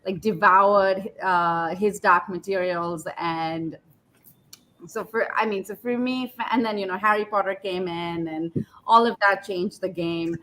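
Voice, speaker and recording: A woman's voice: medium pitch, fairly even intonation, measured pace, a bit bright, slight background noise, low-quality recording. A woman in her thirties from India, an author chatting on a panel, enthusiastic and thoughtful with frequent "like" and "um". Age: 30s. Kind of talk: casual panel talk.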